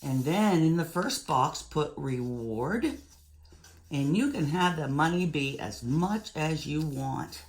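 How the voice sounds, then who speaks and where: A woman in her fifties teaching young children, giving deliberate step-by-step instructions. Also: deep voice, lively intonation. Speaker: elementary school teacher. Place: room at home.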